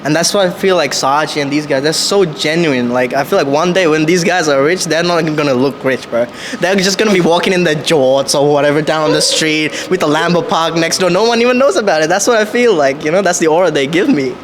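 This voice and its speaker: A man's voice: on the high side, fast, hardly any pauses, crowd noise. A man from Sri Lanka chatting casually and earnestly on a podcast, with slangy speech. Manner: earnest, slangy, says "bro" and "you know". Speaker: podcast guest. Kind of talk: casual podcast chat, storytelling.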